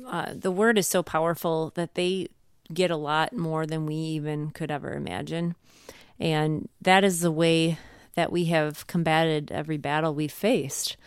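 A woman's voice: lowish voice, clear, resonant tone, dry recording, clean studio sound. A woman in her thirties chatting earnestly and casually as a co-host and mother, sharing advice in a conversational way.

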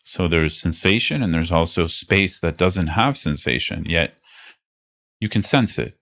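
Male meditation teacher, very deep voice, gently instructive, reassuring, leaves space between phrases. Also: animated, vocal fry. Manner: unhurried, reassuring, phrases broken by pauses.

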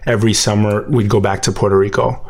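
A man raised in Connecticut answering interview questions about his family, halting and filled with uh and um. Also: on the low side, flat delivery, a little mellow. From Connecticut, USA. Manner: halting, with many fillers and restarts. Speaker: interview guest. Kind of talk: casual interview recollection.

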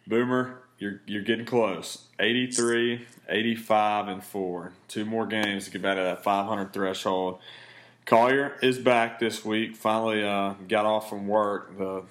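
A man hosting a casual betting podcast, speaking in a laid-back, rambling way with a raspy voice. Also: on the low side, steady tone, a little slow, fairly bright tone. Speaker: podcast host.

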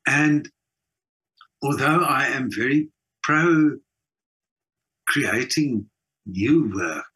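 A middle-aged South African man with a husky voice, talking reflectively in an interview, emphatic, with halting repeats and pauses. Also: mid-range voice, fairly bright tone, rough recording. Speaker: actor and singer being interviewed.

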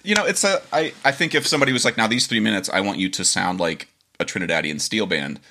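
Deep-voiced, bright-toned man hosting a podcast. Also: quick pace. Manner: animated storyteller, laughs often, acts out quotes. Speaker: podcast host. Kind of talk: casual interview chat.

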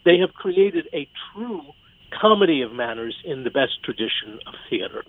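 A man reviewing theater conversationally on the radio, articulate and measured, as a critic. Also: a little high, very animated. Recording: thin phone-like sound.

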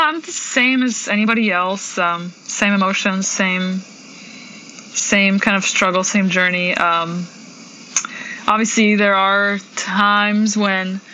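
A woman percussionist with a slight Polish accent talks through interview questions in a loose, chatty way. Her voice is bright and forceful, sitting at an ordinary pitch for a woman. She fills pauses with "um" and "like" and laughs lightly between thoughts. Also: slight background noise, poor audio. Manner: chatty, many fillers and laughs. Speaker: percussionist guest. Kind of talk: casual interview answers.

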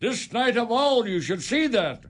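An actor playing a stern, scornful old farmer who scolds and blusters in a community radio drama. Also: male voice, extremely high pitch, very animated, a little slow, heavily acted.

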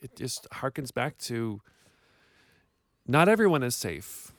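A man's voice: mid-range voice, very animated, takes it slowly, no room sound, studio quality. A man co-hosting a podcast, talking thoughtfully with some hesitation. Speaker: podcast co-host. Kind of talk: casual podcast chat.

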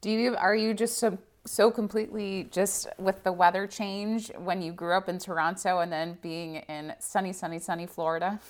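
A woman hosting an interview, warm in her effusive questions and upbeat in tone. Her voice sits a touch lower than most. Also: steady tone, normal speed, few pauses.

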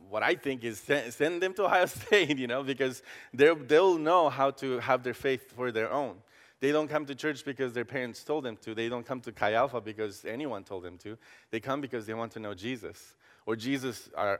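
Guest pastor, man in his 30s, Costa Rican accent, relaxed joking delivery with lots of hesitations, reverberant church hall. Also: medium pitch. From Costa Rica. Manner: friendly and joking, frequent hesitations. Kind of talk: casual sermon. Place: church sanctuary.

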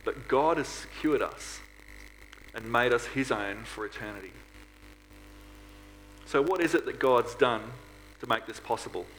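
A man's voice: mid-range voice, animated, a little slow, pauses often, fairly bright tone, slight background noise, poor audio. A man preaching a sermon to a congregation in an earnest manner, often putting rhetorical questions to his listeners. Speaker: preacher at a church.

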